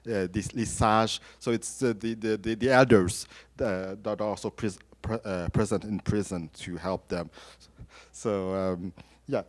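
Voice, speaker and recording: A man whose first language is French, answering as a conference panelist, hesitant and full of restarts, chuckling at himself now and then. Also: on the low side. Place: conference room at a panel session.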